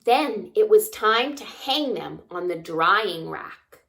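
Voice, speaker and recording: Slow, animated woman reading to children. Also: mid-range voice, vocal fry. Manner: expressive, asks child listeners simple questions. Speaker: children's story time reader. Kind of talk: read-aloud children's picture book narration.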